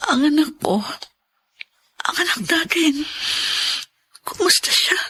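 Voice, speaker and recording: A woman's voice: sits high, slow, sharp tone, heavily acted. A woman playing a grieving young mother in a melodramatic radio drama, tearful and pleading as she refuses to accept her loss. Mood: anxious. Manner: tearful, pleading, emotionally overwrought.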